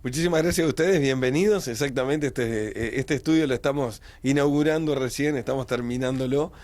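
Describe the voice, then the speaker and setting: A man's voice: mid-range voice, a little fast, a little mellow. A man, a radio host answering interview questions in a small studio, fluent and matter-of-fact. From Uruguay. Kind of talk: interview answers, recounting career.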